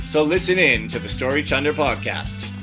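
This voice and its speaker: An Australian man delivers a scripted promo as a podcast host. His voice sits at a medium pitch, with guitar music clearly playing behind him. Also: lively intonation, moderate pace.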